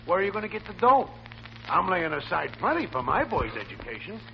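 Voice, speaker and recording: A man's voice: high voice, sing-song, a little fast, creaky, exaggerated voice. An actor playing a boastful, needling factory worker and father in a 1940s radio comedy. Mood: boastful, scornful. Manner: mocking, boastful, argumentative. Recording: muffled, hissy vintage radio recording.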